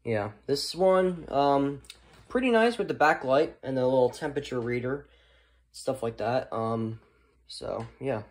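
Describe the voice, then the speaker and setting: Man, hobbyist presenter, mid-pitched, off-the-cuff and halting, recorded in a room at home. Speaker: hobbyist presenter of a weather radio collection. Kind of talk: casual unscripted show-and-tell narration.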